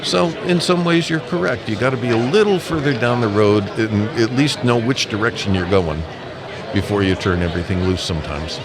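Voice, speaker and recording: A man's voice: fairly low pitch, expressive, fairly quick. A man speaking as a guest in a casual live interview, explaining his points emphatically and step by step. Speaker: amateur radio association representative, interview guest. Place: busy convention event. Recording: busy convention crowd noise behind. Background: crowd chatter.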